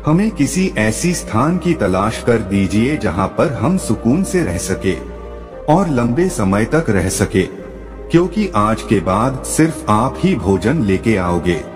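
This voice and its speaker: Man playing female crane, storybook bird, bossy, commanding, expressive intonation, music underneath. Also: lowish voice, slow. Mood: pleading, insistent. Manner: commanding, imperious. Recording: long reverb, music underneath.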